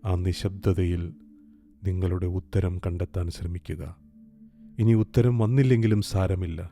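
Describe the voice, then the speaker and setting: A man guiding a meditation in a calm, gentle, soothing way, leaving long pauses. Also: sits low. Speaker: meditation guide. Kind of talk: guided meditation, slow soothing instruction. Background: soft meditation music with singing bowl.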